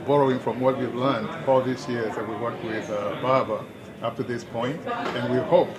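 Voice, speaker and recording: A man's voice: mid-range voice, normal speed. A man with a Kenyan accent, interviewed at a town hall, speaking earnestly and persuasively with frequent restarts. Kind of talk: street interview, political commentary. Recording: loud crowd behind.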